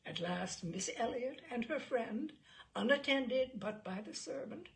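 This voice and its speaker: A woman reading a classic novel aloud as an audiobook, in a measured, expressive storytelling manner with a creaky voice. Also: fairly high pitch. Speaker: audiobook reader. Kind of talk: literary narration of a classic novel, voicing dialogue.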